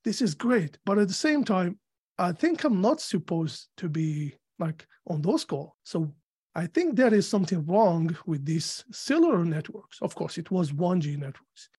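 A man from Algeria with an Algerian accent, answering interview questions in a measured, thoughtful way with frequent fillers. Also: high-pitched, very animated, darkish tone, husky. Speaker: guest. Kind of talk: casual interview.

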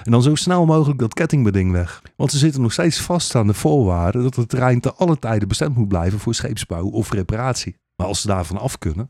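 Deep-voiced male storyteller, ironic and theatrical. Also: dark tone, dry recording, studio quality. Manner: theatrical, ironic, mock-naive as if telling children. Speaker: narrator of a radio play. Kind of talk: satirical fairy-tale storytelling, scripted narration. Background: music.

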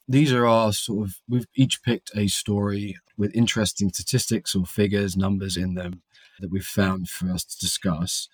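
Man, podcast host, fairly low voice, polished scripted delivery, dry close-miked sound. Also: no room sound. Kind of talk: podcast hosting.

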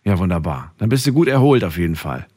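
Radio call-in host, man, lowish voice with very varied melody, addressing callers. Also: big pitch swings, fast, warm tone, slightly rough, dry recording. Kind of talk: late-night radio talk, addressing listeners and callers. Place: radio studio. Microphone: close studio microphone.

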